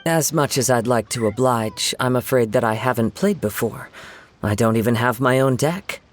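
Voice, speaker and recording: A man plays a gentle young samurai poet in an anime-style game. His mid-range voice is measured and soft-spoken, humble and courteous. Light music sits behind him. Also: medium pitch, natural voice. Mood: modest.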